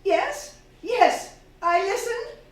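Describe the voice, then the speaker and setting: Woman acting high-pitched, agitated wife, echoey hall. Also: expressive, very slow, heavily acted. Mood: horrified. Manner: agitated, demanding, theatrical. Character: shrill, domineering, fearful and suspicious wife. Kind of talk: stage radio drama acting. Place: theatre stage before a live audience. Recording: very echoey hall, distant, faint noise.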